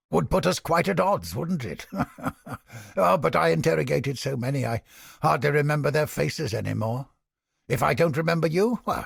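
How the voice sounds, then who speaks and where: A fanatical, paranoid male inquisitor from a fantasy video game. His voice is thick with gravel and very husky, and it swings up and down as he lectures with smug, theatrical condescension. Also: fairly high pitch, no echo, studio quality, full character voice. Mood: coy, sly, then smug, menacing. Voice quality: gravelly, raspy. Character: zealous, paranoid ex-Templar interrogator, smug skeptic of gods. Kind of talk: video game character acting.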